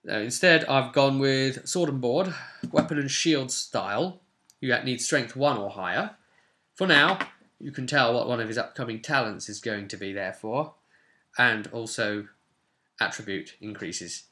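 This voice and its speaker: A man's voice: medium pitch, unhurried, pushed and bright. A man in his twenties casually talking through tabletop game rules and reading parts aloud, recorded at a desk in a small room at home. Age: 20s. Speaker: tabletop roleplay channel host.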